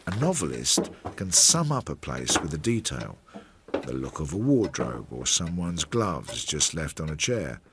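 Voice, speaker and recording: An actor playing a Victorian investigator, narrating a radio drama in a reflective, deliberate and understated way. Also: male voice, very deep voice, big pitch swings, noisy background, exaggerated voice. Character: measured Victorian investigator recounting a failed case.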